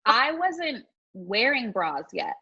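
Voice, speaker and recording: A woman's voice: a little high, sing-song, slow pace, sharp tone, slightly rough. A woman, a former child actor, chatting casually at a reunion over a lo-fi video call. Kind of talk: casual chat. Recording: dull lo-fi video call audio.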